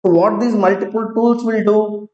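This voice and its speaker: A man teaching an online class, talking slowly, repeating each phrase and checking that students follow. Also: high-pitched, fairly even intonation, darkish tone. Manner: slow, repeats each phrase several times; asks students to confirm they follow. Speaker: IT trainer. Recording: roomy, dull lo-fi online class audio.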